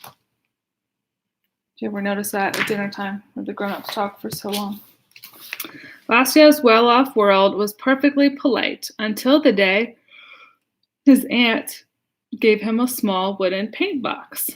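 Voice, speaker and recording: A woman's voice: mid-range voice, slow pace, long pauses, slight background noise, rough recording. An American woman, a pastor leading a casual livestream storytime, chatting to children and asking them simple questions. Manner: chatty, asks young listeners simple questions.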